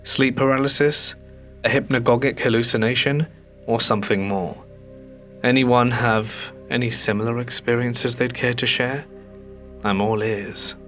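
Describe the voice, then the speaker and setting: Calm man reading ghost stories. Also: medium pitch, measured pace, soft background music. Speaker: host of a ghost story channel.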